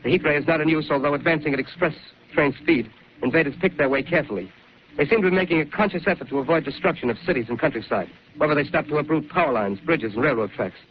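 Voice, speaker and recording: A radio news announcer, played by an actor, rattles through formal war bulletins at great speed. His voice sits a little high. The old 1930s broadcast sounds dull, with a faint hiss. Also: natural voice. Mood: urgent. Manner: rapid formal bulletin reading. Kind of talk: 1930s radio drama acting.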